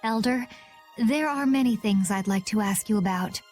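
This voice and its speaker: A woman's voice: medium pitch, normal speed, a little mellow, faint music behind, close to an everyday voice. A woman playing a poised, composed young adventurer in a video game, polite with a playful, teasing edge.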